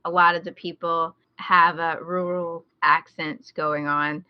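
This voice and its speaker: A woman's voice: fairly low pitch, flat delivery, a little slow, no room sound, muffled recording. A woman with an American accent chatting casually as a podcast co-host, recommending things she enjoys. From rural Midwest United States.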